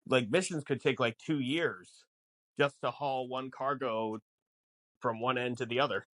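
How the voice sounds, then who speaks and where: A man's voice: mid-range voice, steady tone, measured pace, fairly bright tone, dry recording. A man co-hosting a podcast, explaining things casually in an emphatic, rambling way. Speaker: podcast co-host. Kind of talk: casual film discussion podcast.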